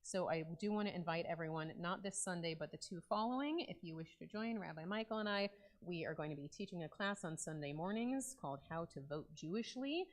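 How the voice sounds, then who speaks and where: A woman's voice: lowish voice, animated, brisk. A woman clergy member giving informal remarks to her congregation during a Shabbat service, talking conversationally with frequent ums. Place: synagogue sanctuary.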